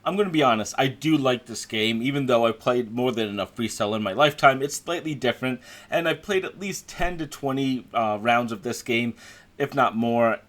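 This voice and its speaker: A man's voice: medium pitch, normal speed, a bit bright. A man giving a conversational, opinionated voice-over review as a video game critic.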